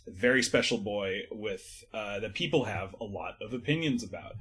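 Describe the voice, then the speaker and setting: A man's voice: lowish voice, lively intonation, moderate pace, fairly bright tone, breathy edge. A man chatting casually as a podcast guest, with dry humor. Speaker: podcast guest, audio drama creator. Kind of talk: casual chat.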